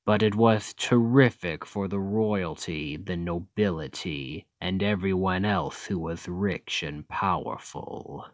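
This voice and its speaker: A man playing a smug, calculating demon king in an ASMR roleplay, talking in a deliberate, condescending and persuasive way to the listener. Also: low-pitched, no room sound, clean studio sound, heavily acted. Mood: taunting, knowing. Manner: slow, deliberate, condescending, taunting. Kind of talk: ASMR roleplay, scripted acting addressed to listener.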